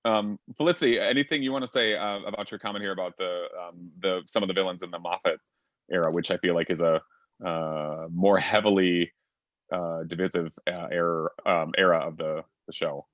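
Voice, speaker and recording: A man with a very low voice moderates a fan panel over a video call, and the sound is dry and muffled. He pads his sentences with fillers and keeps chiming in with short agreements. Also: steady tone, a little fast, fairly bright tone, dry recording. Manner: filler-heavy, frequent brief agreement. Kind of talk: casual panel discussion.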